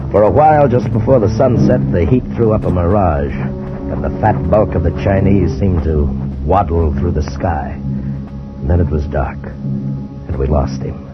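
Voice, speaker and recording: Strong music sits under a dull old radio recording of an earnest adventurer. His voice is very low. He tells his tale in dramatic, scripted first-person narration. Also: unhurried. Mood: grim, dramatic.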